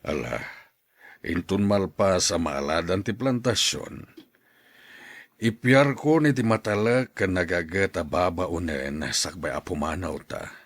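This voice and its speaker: A man playing a stern, proud older plantation boss in an audio drama, with a husky voice. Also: deep voice, unhurried, no room sound, clean studio sound. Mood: musing.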